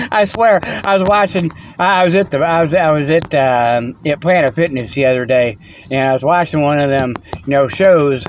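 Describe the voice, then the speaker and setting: A man's voice: on the high side, slight background noise. A man hosting a rambling comic monologue on a phone, chuckling often and full of fillers and restarts, playful and teasing. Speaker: host.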